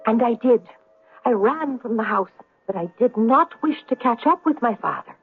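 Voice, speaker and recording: An actress playing an earnest daughter who tells a wondrous tale in an old-time radio drama. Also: female voice, fairly high pitch, lively intonation, moderate pace, narrow, tinny audio. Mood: wistful, hushed.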